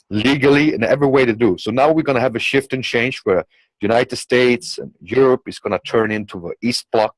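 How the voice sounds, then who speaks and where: A man's voice: medium pitch, no room sound, poor audio. A man with a non-native English accent gives a blunt, rambling political monologue, often repeating himself and tagging phrases with okay and you know. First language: not English. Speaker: commentator.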